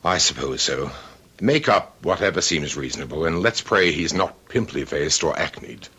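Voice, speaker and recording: An actor playing a fussy, aloof, wealthy middle-aged bachelor in a radio drama, speaking with pompous precision and dry wit. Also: male voice, a bass voice, expressive, moderate pace, noisy background. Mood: fastidious. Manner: pompous, precise, drily witty. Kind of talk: old-time radio drama acting.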